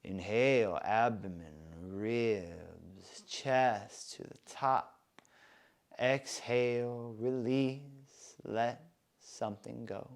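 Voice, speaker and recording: A man softly leading a guided breathing meditation, with calm, soothing instructions. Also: mid-range voice, vocal fry. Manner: slow, soft, calm and soothing guidance.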